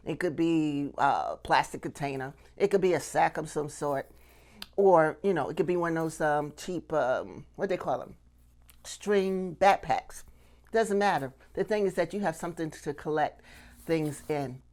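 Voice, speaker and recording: A woman's voice: low-pitched, unhurried, a little mellow. A middle-aged woman giving a casual instructional talk to camera, conversational, often asking questions and checking in with the listener. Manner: conversational, rhetorical questions and frequent "okay?" check-ins. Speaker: puppetry instructor and channel host.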